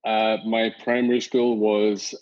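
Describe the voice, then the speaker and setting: Northern Irish man, low, lo-fi. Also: unhurried. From Northern Ireland. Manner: relaxed, rambling, many fillers and restarts. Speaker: company founder and CEO, guest. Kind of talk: casual interview talk. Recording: dull lo-fi audio.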